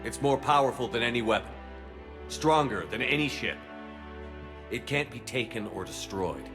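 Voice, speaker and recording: A man's voice: medium pitch, animated, moderate pace, over music, natural voice. A man playing a steadfast, sober military commander in a video game cutscene. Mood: solemn, inspiring. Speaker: squad commander.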